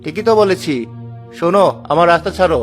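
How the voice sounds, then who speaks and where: A man playing a put-upon village husband in a cartoon story, speaking in a plaintive, expressive manner. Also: high voice, quick pace, faint music behind, natural voice. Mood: indignant. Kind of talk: Bengali cartoon story dialogue.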